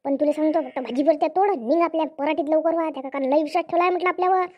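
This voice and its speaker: A woman plays an older village woman who leads farm workers in a comic cartoon. Her voice is extremely high. She speaks Varhadi Marathi in a chatty, rustic, bossy way. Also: rapid-fire, lo-fi sound, natural voice. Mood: bossy, scolding.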